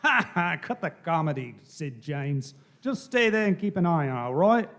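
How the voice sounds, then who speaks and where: Man acting bossy police sergeant, high-pitched, swooping delivery, exasperated, commanding, radio drama. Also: very animated, moderate pace, fairly bright tone. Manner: gruffly commanding, exclaiming. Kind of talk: live radio play performance.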